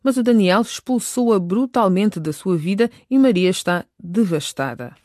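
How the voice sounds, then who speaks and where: A woman's voice: mid-range voice, animated, unhurried, warm tone, dry recording. Woman narrator, very dry recording. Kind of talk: scripted radio drama narration.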